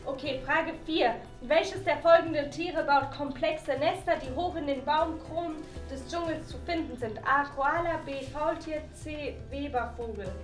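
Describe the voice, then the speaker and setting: A young woman playing a sulky teenage contestant in a web game show, speaking in halting youth slang. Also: high-pitched, echoey room, rough recording. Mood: puzzled. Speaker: camp contestant in a scripted web challenge show. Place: small decorated studio room indoors. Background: music.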